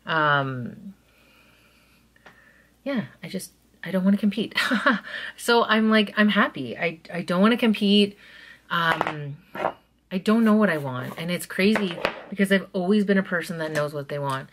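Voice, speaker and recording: A woman in her thirties chatting excitedly to camera while doing her makeup in a small bedroom, drifting into soft asides. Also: on the low side, a little slow, pauses often, background noise. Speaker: vlogger. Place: small bedroom at home, indoors. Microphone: camera close in front of her.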